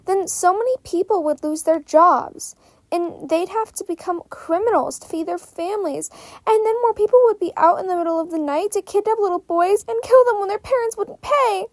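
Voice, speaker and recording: A woman reading fan fiction aloud as an expressive story narrator. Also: very high voice, moderate pace, dry recording.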